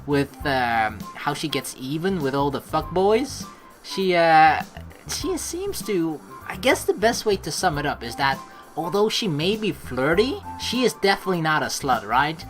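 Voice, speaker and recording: A woman's voice: low voice, very animated, measured pace, faint music behind. A young woman doing casual, sweary let's play commentary and reading a visual novel's narration aloud. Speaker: let's player.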